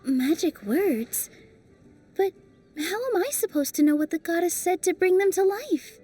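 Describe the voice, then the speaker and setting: A woman voicing a graceful young dancer in a video game, soft, thoughtful and playful. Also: extremely high pitch, lively intonation, measured pace, recorded from a distance. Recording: voice set back from mic, faint game music.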